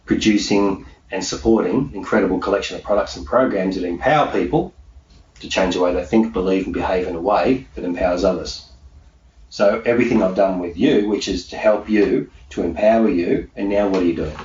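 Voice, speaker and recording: Low-voiced man, echoey distant recording. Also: a little fast, darkish tone. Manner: emphatic, self-assured, repeats key phrases. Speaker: peak performance hypnosis coach being interviewed. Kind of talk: motivational conversational interview answers. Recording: echoey room, distant mic, dull sound, faint noise.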